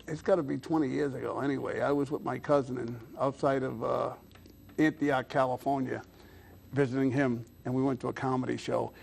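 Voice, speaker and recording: A man's voice: mid-range voice, creaky, darkish tone. A mature man hosting a casual interview, chatting and telling stories informally. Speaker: talk show host.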